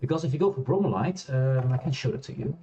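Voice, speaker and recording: A man streaming a space game, chatting loosely with many fillers and restarts, laughing now and then. He has a loud voice. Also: medium pitch, quick pace, dull audio. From Europe. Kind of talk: casual gameplay commentary. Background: quiet game music.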